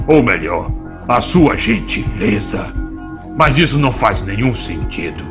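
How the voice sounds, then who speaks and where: A man's voice: fairly low pitch, sing-song, measured pace. A man with a Brazilian accent voicing a hulking, taunting demon villain in an anime fan dub, with a mocking, menacing delivery. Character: gloating hulking horned demon villain, adult male. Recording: loud music behind the voice.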